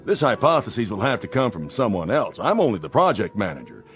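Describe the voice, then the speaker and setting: A man acting in a radio drama as a measured, deferential project manager in a space program. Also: lowish voice, big pitch swings, fairly bright tone. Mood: grave. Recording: music bed underneath.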